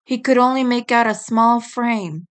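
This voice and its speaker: High-pitched, slow-paced woman narrator. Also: smooth. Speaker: fan fiction narrator. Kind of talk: scripted story narration.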